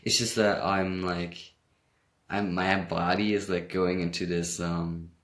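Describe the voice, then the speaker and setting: A man's voice: low voice, flat delivery, slow, a bit bright. A tired young man with a German accent, chatting casually to viewers on a livestream, rambling and correcting himself and laughing often. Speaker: livestream host. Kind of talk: casual livestream chat reading comments. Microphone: phone.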